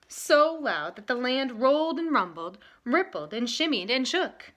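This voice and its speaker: A woman reading aloud to children in an expressive style, leaning dramatically on key words. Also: sits high, unhurried. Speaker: storybook reader.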